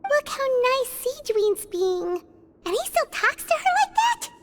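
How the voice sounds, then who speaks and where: Tiny fairy sidekick, squeaky high, bouncy, excitable, outspoken. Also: female voice, unhurried, very noisy, heavily acted. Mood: chirpy. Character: small chirpy fairy-like companion girl, excitable. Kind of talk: game voice acting.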